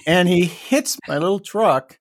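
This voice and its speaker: A man co-hosting a podcast, telling stories with dry, joking humor, his voice deepened by a cold. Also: expressive, measured pace, dry recording. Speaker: podcast co-host. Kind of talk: casual podcast chat.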